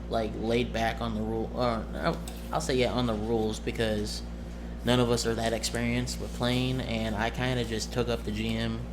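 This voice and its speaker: A man talking casually and informally about his tabletop roleplaying game as game master, rambling and correcting himself as he goes. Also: medium pitch. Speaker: amateur game master, presenter of the video. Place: indoors at a desk with monitor and cameras. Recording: noisy home recording with room ambience.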